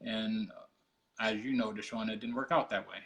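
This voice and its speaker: A man in his forties co-hosting a live interview, talking in an easygoing, halting way with many ums. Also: fairly low pitch, steady tone, fairly bright tone. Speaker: co-host. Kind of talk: casual live-stream interview.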